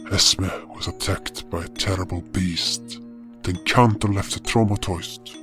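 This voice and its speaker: A man's voice: sits low, steady tone, measured pace. A man playing a solemn, powerful guardian hero who narrates his journey in a fantasy audio drama. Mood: grave. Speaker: narrator and lead actor. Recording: music behind the voice. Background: music.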